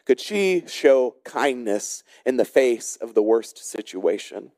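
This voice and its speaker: A man's voice: mid-range voice, sing-song, warm tone, no echo. A man preaching a sermon as a pastor, telling stories and explaining scripture with emphatic, dramatic phrasing. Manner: emphatic storyteller, varies between brisk narration and slow dramatic phrasing. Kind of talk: sermon with storytelling and scripture exposition.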